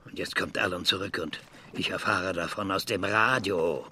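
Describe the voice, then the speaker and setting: An actor playing an older, hot-tempered retired space scientist in a radio drama, with a halting, blustering manner. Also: male voice, low voice, fairly even intonation, sharp tone, a little hiss or hum. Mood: angry, indignant. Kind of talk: German radio drama acting.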